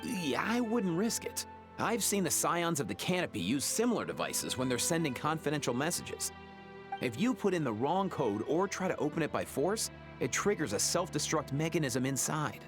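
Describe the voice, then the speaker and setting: A man's voice: a little high, big pitch swings, moderate pace. A man acting as a laid-back, cautious young vet in a video game, with a casual, dry and slightly hesitant delivery. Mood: careful, puzzled. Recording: music under the voice.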